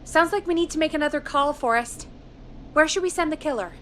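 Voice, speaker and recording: A woman's voice: high-pitched, fairly quick, a little hiss or hum, natural voice. A woman voicing a perky, gossipy radio show producer in a video game, chatty and enthusiastic. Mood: eager, doubtful. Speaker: radio producer putting calls through.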